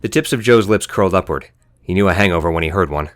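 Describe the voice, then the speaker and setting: A man's voice: low voice, fast talker, no echo. A man narrating an audiobook story in a scripted storytelling style.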